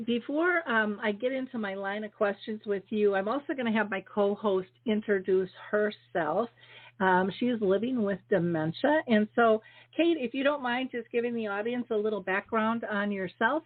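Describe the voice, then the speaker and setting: A woman hosting an interview show, chatty and filling pauses with "um" and "you know". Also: mid-range voice. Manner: chatty, full of fillers and warm thanks. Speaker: podcast host. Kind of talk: casual interview chat. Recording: phone-like video call audio.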